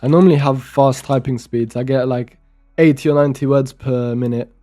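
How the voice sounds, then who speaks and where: A young man from Romania talks his way through a coding session, reading lines of code under his breath and chatting between them. His voice is mellow and relaxed, at a middle pitch for a man. Faint music plays behind him. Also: a little fast. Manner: muttering code aloud while typing, chatty asides. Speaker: programming streamer. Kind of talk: casual live-coding commentary.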